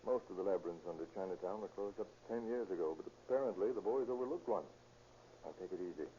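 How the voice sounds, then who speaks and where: Man acting deep-voiced 1930s radio police detective. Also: fast, dark tone, lightly acted. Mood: businesslike. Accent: American. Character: shrewd, commanding American police detective leading a raid. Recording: muffled, noisy 1930s radio recording.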